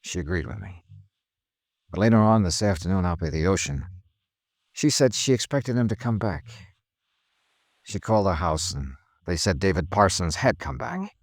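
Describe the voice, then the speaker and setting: Deep-voiced, world-weary radio detective. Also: male voice, lively intonation, moderate pace, pauses often, no room sound, studio quality. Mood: shaken, wistful. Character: world-weary male insurance investigator, grimly narrating his case. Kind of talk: 1950s radio detective drama acting. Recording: vintage radio drama with music underneath.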